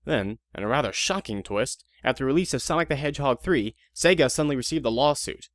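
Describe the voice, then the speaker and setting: Casting: male narrator for audio drama, medium pitch, informal and wry, close dry sound with no room. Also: animated, fast talker, no room sound. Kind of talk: conversational scripted narration.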